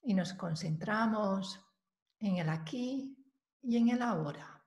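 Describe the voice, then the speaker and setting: A woman leading a guided meditation, giving calm, measured instructions with long pauses between phrases. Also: mid-range voice. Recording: roomy, echoing voice recording.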